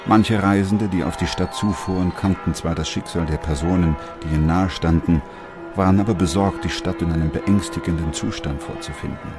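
An actor playing a sober, compassionate doctor who narrates a radio play in a measured, grave literary style. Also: male voice, low-pitched, steady tone, music in the background, natural voice.

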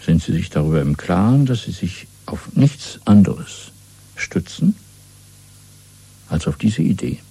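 An actor playing a calm, commanding middle-aged party official in a German radio play, speaking in a measured, didactic and coolly authoritative way. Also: male voice, low-pitched, gravelly, slightly rough, full character voice. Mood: grave, stern.

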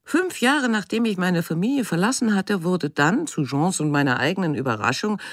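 Reflective female artist, middle-aged, radio drama monologue, wry, measured, close dry studio sound, animated intonation. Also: fairly low pitch, no echo. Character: reflective woman artist looking back on her life. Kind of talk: literary first-person radio drama monologue.

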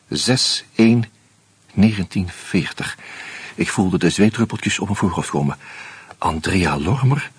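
A man narrating a radio drama in the first person, measured and reflective. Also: fairly low pitch, slightly rough, no room sound.

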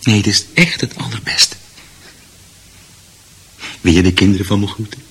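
An actor playing a confused, gentle husband who is shut away, speaking haltingly and repeating himself in a radio drama. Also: male voice, on the low side, slightly rough. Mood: anxious, resigned. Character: confused, gentle confined husband fretting about his garden.

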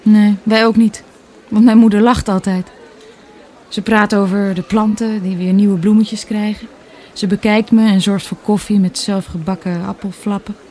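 Woman playing young daughter, mellow dark tone, melancholy, guarded, radio play dialogue. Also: medium pitch, flat delivery, moderate pace, lightly acted. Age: young adult.

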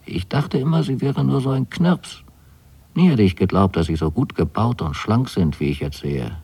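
An actor voicing a gruff, pompous man in a radio play, indignant and emphatic in manner. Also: lowish voice, animated, moderate pace, dark tone. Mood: blunt, familiar. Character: gruff, pompous dark-haired man hostile to intruders. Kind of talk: literary radio drama.

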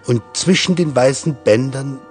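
A man's voice: mid-range voice, normal speed, faint music behind. A man giving a dramatic reading of literary prose as a narrator. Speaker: reader of literary texts.

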